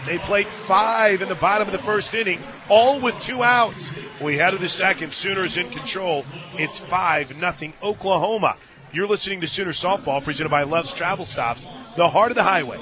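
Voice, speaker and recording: A man's voice: high voice, normal speed. An American man working as a radio play-by-play announcer, calling a live game with conversational, folksy asides and emphatic delivery. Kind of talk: live sports commentary with chatty asides. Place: stadium radio booth.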